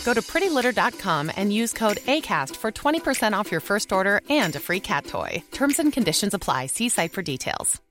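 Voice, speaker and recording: Animated mid-pitched woman over faint music. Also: a little fast, hardly any pauses. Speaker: advertisement tag announcer. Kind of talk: scripted ad read.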